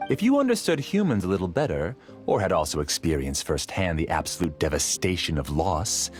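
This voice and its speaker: Game villain: grieving scholar, deep and lively in pitch, measured, persuasive. Light music under. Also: male voice. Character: grieving scholar villain, calm and self-justifying. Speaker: researcher. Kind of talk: video game dub.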